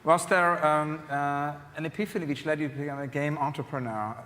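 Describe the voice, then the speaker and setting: A man's voice: a little high, steady tone, fairly quick, fairly bright tone. A man interviewing guests onstage, asking questions in a polite, hesitant way. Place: conference hall stage. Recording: long hall reverb.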